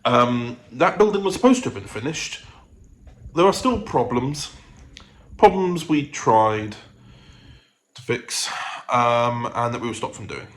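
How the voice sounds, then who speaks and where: A man's voice: mid-range voice, big pitch swings, measured pace, long pauses, fairly bright tone, poor audio. A man chatting casually to his vlog audience in a rambling, self-interrupting way. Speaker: vlogger and audio drama producer. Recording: noticeable background noise.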